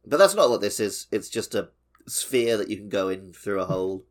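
A man's voice: fairly low pitch, expressive, moderate pace. A man co-hosting a gaming podcast, chatting casually in a loose, conversational way. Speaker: podcast co-host.

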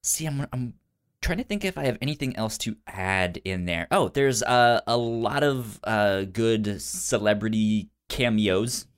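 A man co-hosting a casual film review podcast, speaking informally and haltingly, with a frequent stutter that repeats sounds and syllables. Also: on the low side, animated, clean tone. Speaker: podcast co-host.

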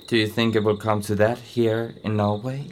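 An actor playing an anxious, halting ship owner in his sixties in a radio drama. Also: on the low side, level tone, darkish tone, slight background noise, close to an everyday voice. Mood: worried. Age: 60s. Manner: hesitant, worried, halting. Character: older male ship owner, anxious, hesitant businessman.

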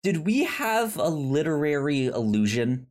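Stammering podcast host, lively swooping pitch. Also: measured pace. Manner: stammering, repeats syllables and words. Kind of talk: casual film review chat.

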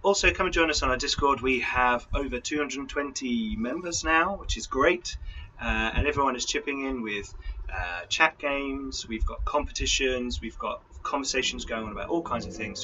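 A man's voice: medium pitch, moderate pace, bright, forceful tone, dull audio, a little hiss or hum. A man hosting a tabletop role-playing livestream as game master, chatting informally through announcements and correcting himself as he goes.

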